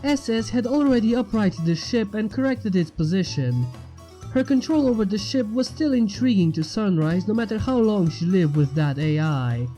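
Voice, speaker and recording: A woman's voice: mid-range voice, warm tone. A woman reading scripted fiction aloud as the narrator.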